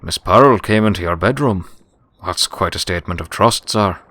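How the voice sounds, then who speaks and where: A man's voice: low-pitched, moderate pace, bright, forceful tone. A man voicing an elderly earth pony manservant in a storybook, deferential, folksy and plain-spoken. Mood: polite, wry. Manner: plain-spoken, polite, understated.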